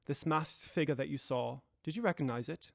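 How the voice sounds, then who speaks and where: Young man acting a high-voiced, solemn Victorian lawyer. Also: gravelly, no echo, clean studio sound. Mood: grave, determined. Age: young adult. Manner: formal, deliberate, solemn. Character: grave, dutiful Victorian gentleman lawyer, resolute and measured. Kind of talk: audio drama acting of a Victorian tale.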